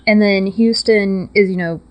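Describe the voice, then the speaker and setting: A woman's voice: medium pitch, fairly even intonation, normal speed, highs cut off. Chatty young woman. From Texas. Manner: chatty, laughs often, loose storytelling with fillers. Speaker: guest. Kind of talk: casual interview.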